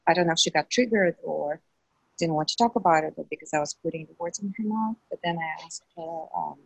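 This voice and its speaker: A woman whose first language is Russian shares in a support group, speaking softly and hesitantly as she searches for words. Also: low voice, brisk, vocal fry, breathy edge, no room sound, poor audio. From Southern California. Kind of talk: personal sharing in a support group.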